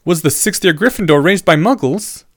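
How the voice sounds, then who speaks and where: A precocious schoolboy, acted by a male narrator in an audiobook. The voice is lifted well up in pitch, earnest and articulate, with a bold, questioning way of speaking. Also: lively intonation, normal speed, fairly bright tone, dry recording, clean studio sound. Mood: probing, exasperated.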